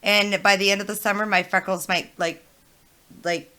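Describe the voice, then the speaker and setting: Bright-voiced woman hosting a chatty stream. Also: mid-range voice, fairly even intonation, moderate pace. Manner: chatty, swears freely, frequent "like" and laughter. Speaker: stream host. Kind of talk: casual livestream chat.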